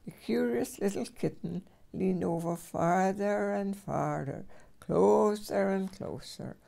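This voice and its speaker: Older woman, very creaky storybook reader. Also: medium pitch, takes it slowly, dark tone. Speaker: great-grandmother reading aloud to children. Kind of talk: storybook read-aloud.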